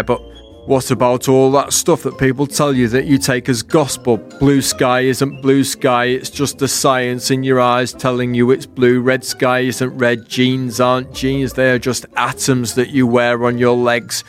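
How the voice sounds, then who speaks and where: A man's voice: mid-range voice, natural voice. Man voicing a bold, bossy night sky. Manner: bold, loud, lecturing. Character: bossy, demanding fallen piece of night sky.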